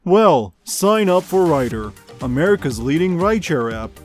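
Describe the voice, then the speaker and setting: A man's voice: on the high side, very animated, moderate pace, soft background music. A man playing a smug, sarcastic news host in a comedy audio show, delivering parody bulletins and ad reads with dry showmanship. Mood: salesman patter. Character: smug, sardonic male news show host stirring up drama.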